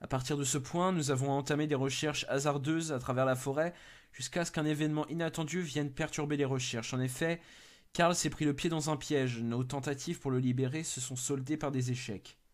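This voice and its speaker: A man's voice: fairly high pitch, steady tone, a little fast, close to an everyday voice. A man playing an urgent, frightened member of a search party making radio reports in a horror drama. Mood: grave, reporting. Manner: urgent, whispering, breaking into screams and sobs. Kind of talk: dramatised horror story acting.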